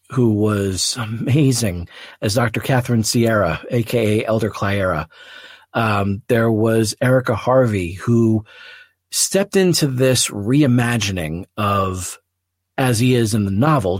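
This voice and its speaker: A man speaks as a solo podcast host in a reflective, earnest style. His voice sits fairly low and moves very slowly, in short measured phrases with pauses. The sound is very dry, with little room in it. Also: no echo.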